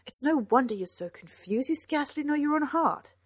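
Woman playing tormented male knight, weary, husky, grave, restrained then forceful, dramatic audiobook, dry sound. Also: medium pitch, moderate pace, no room sound, lightly acted. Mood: impassioned. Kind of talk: dramatic audiobook character acting.

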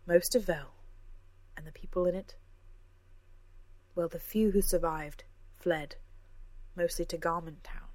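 A woman reading aloud, voicing a calm, impassive young woman who speaks softly and measured. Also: fairly low pitch, dry recording. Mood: grave. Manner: soft, measured, hushed.

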